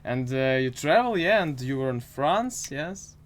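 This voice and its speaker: A man in his twenties with a Ukrainian accent hosting a casual interview in hesitant, halting English. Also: medium pitch, big pitch swings. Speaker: podcast host, interviewer.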